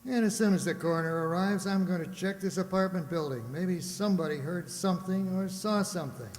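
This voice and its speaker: Guy doing a fed up police lieutenant, pretty high voice, sarcastic, old radio drama, hall echo. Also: a little fast, darkish tone, close to an everyday voice. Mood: businesslike. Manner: sardonic, grumbling, officious. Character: exasperated, sarcastic male police homicide lieutenant. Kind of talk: old-time radio detective drama acting. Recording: very reverberant room or hall.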